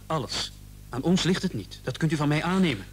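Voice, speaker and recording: An actor playing an earnest, placating doctor in a radio play, formal and pleading. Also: male voice, a little high, sing-song, brisk, a little hiss or hum. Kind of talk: Dutch-language radio play acting.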